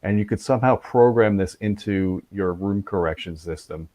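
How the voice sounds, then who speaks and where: A man's voice: on the low side, normal speed, dark tone, no echo. A man hosting a technical discussion in a casual, conversational way. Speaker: host.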